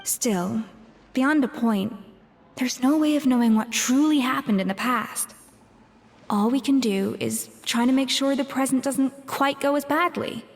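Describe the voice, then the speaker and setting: A woman playing a proud, thoughtful young female chef in a video game, speaking in a measured, articulate way. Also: sits high, big-room sound. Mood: determined. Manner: measured, articulate, reflective storytelling. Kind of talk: scripted video game dialogue. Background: background music.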